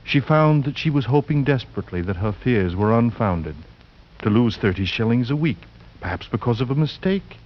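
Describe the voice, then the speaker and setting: A man narrating a suspense tale as a radio actor, grave and deliberate in a theatrical 1940s style. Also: mid-range voice, expressive, lightly acted. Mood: tense, urgent. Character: grave, ominous male storyteller of a horror tale. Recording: muffled 1940s radio recording with music.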